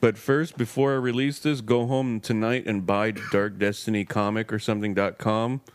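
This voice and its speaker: A man's voice: on the low side, moderate pace, smooth. A man with a nasal voice hosting a casual podcast chat, joking and pushing his friends along. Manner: animated, joking, pushy. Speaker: podcast host. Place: home garage.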